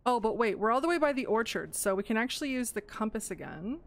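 Woman, young adult, gaming commentary, excitable and gushing, slightly hoarse, very lively pitch. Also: quick pace. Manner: excitable, gushing over cute animals, thinks aloud. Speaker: gaming YouTuber. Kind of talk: casual Minecraft let's play commentary.

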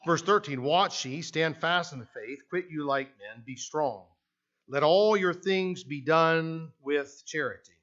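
An older Canadian man preaches in a church sanctuary, his voice sitting high for a man. He talks in a loose, conversational way, restarting phrases and checking in with "right?", often walking away from the podium mic. The recording is a little dull and lo-fi. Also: measured pace, a bit bright. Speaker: pastor. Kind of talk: sermon. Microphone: podium mic, speaker often walking away from it.

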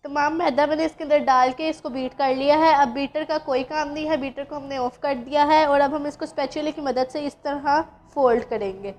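A woman's voice: sits high, fairly even intonation, a little fast, few pauses, darkish tone. A woman from Pakistan, patiently explaining a recipe step by step as a cooking channel host. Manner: patient, instructive, addresses viewers directly.

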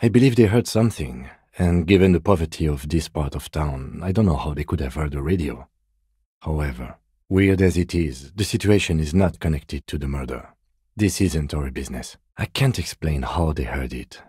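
A man playing a calm, dignified middle-aged police lieutenant in a video game, speaking in a measured, precise, deadpan way. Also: a bass voice, slightly rough, no room sound, clean studio sound, heavily acted. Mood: measured, matter-of-fact. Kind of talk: video game character dialogue.

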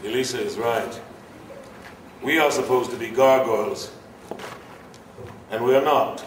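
An actor playing a noble, grave warrior leader in a staged radio play, with stately, measured speech. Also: male voice, medium pitch, close to an everyday voice. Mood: resolute. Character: noble, grave male gargoyle leader turned human, solemn warrior. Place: live convention stage reading. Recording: echoey hall, distant mic, faint noise.

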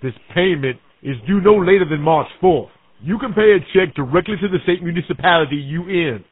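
A man's voice: a little high, brisk, slightly rough, no echo. A man playing a pushy, scolding small-claims court official in a streetwise, informal, confrontational prank call. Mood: officious. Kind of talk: pre-recorded prank call acting. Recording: tinny phone line.

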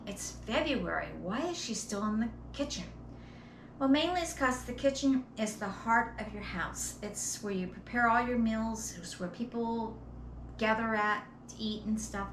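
A middle-aged woman talks casually to a camera across her kitchen, explaining each step plainly. Her voice sits fairly high. The room adds a noticeable echo, and there is clear background noise behind her. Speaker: home cleaning vlogger. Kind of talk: casual instructional vlog talk to camera. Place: home kitchen, indoors. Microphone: camera a few metres away.

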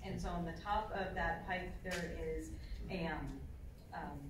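A woman's voice: fairly low pitch, a little slow, distant mic, noisy background. Chatty woman on a panel mic, echoey hall. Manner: chatty, explains in detail with many fillers. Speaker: droid builder panelist. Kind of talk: convention panel talk. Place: large convention hall panel room. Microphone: table mic recorded by a far camera in the audience.

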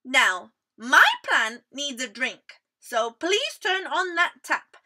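A woman's voice: high voice, very animated, pushed and bright, heavily acted. A woman voicing a small, plucky male mouse in a storybook, fussy and commanding but cheerful. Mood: bossy. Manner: brisk, commanding, declaiming.

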